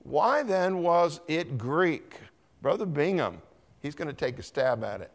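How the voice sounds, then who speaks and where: A man's voice: on the high side, sing-song, a little slow. A man, a pastor, teaching a Bible lesson in church, quizzing the congregation and calling on people by name. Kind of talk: interactive Bible teaching with quiz questions.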